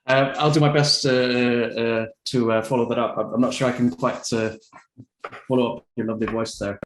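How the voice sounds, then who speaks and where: A man with a medium-pitched voice moderates a book talk over a video call, and his voice carries a roomy echo. He reads a translated passage steadily and chats with a modest, slightly hesitant manner. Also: fairly even intonation, normal speed.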